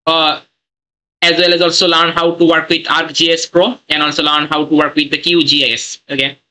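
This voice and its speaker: Bright-voiced man teaching an online class. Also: on the high side, little pitch movement, moderate pace, clear, resonant tone, poor audio. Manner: non-native English, frequent "okay" and fillers. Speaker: online course instructor. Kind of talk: live online training session. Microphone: video call.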